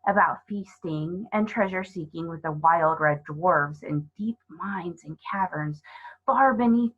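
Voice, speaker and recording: A woman narrating a children's storybook as a reader, in an expressive scripted style. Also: fairly low pitch, lo-fi sound.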